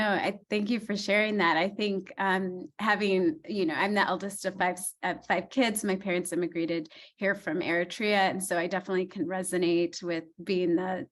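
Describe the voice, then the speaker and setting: Encouraging woman doctor hosting a panel. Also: medium pitch. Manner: warm encouraging, frequent ums. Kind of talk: casual online panel discussion.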